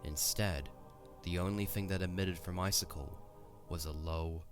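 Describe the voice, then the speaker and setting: Deep-voiced man narrating over music. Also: steady tone, moderate pace. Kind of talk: scripted story narration for an audio drama.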